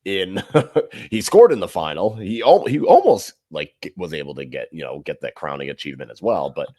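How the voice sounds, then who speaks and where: A man co-hosting a podcast, giving calm, measured football analysis in casual chat. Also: low voice, no echo, clean studio sound. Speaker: podcast co-host.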